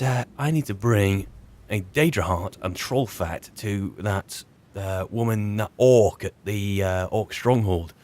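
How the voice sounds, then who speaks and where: Low-voiced rambling British adventurer, played by a man. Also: a little mellow. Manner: rambling, musing, wry, halting. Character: weary, chatty male wizard's servant turned adventurer, grumbling wryly. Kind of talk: in-character game roleplay monologue.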